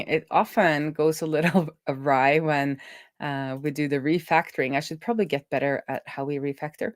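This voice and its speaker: Low-voiced woman thinking aloud while coding. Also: dry recording, clean studio sound. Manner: halting, trailing off mid-thought and self-correcting. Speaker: developer hosting a live coding stream.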